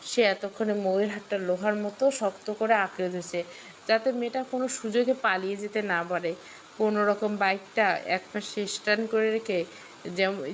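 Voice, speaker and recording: A woman's voice: medium pitch, slight background noise, rough recording. A woman reading a scripted story with dramatic delivery. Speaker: story narrator.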